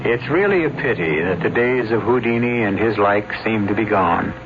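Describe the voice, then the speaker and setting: A man hosting an old-time radio mystery show, narrating from a script in a measured, theatrical, wry way. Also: lowish voice, animated, loud music behind the voice. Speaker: host of radio mystery series. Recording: muffled vintage radio, clear hiss.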